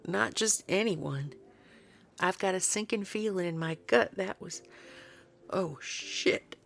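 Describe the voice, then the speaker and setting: A woman acts out a weary, stubborn woman researcher in an audio drama. Her voice is on the low side and heavy with creak. She talks bluntly and dryly, with a sardonic streak. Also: takes it slowly, soft background music. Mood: uneasy, dry.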